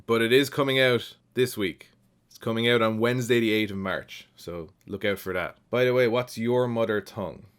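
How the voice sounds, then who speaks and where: A man's voice: mid-range voice, steady tone, moderate pace, clean tone. A man with an Irish accent casually reading and answering viewer comments as a channel host, in a reflective, explanatory way. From Ireland.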